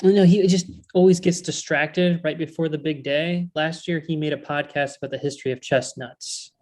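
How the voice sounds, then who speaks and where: A woman acts out an officious male elf in a comedy read. The voice sits a bit low, with an earnest, worried and slightly hesitant delivery. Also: steady tone, normal speed, a little mellow. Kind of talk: table read comedy acting.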